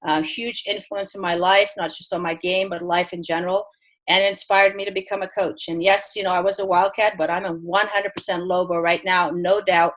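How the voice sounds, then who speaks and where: Earnest Belgian-born woman, slightly low voice. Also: flat delivery, fairly quick, fairly bright tone, poor audio. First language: Dutch and French. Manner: earnest, with many restarts and fillers. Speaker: head tennis coach. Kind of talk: remote interview.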